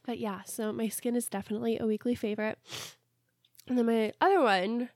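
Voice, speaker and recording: A woman's voice: on the high side, a little fast, no room sound. Young woman, stuffy nose, casual chatty podcast co-host, dry close sound. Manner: chatty, relaxed, rambling with fillers. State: stuffy, congested. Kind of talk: casual chat.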